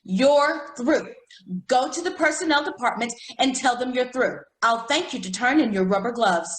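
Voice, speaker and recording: A woman playing a brisk, pompous cosmetics saleswoman in old-time radio comedy style, recorded in an echoey room. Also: expressive, fairly bright tone. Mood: exasperated. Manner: showy, deliberate pitchwoman delivery.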